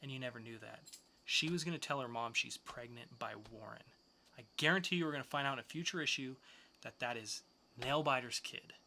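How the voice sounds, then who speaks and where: A man's voice: mid-range voice, brisk, vocal fry, pushed and bright, a little hiss or hum. A man hosting a podcast, casually reviewing comic books with enthusiastic, loose, slangy asides. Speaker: podcast host.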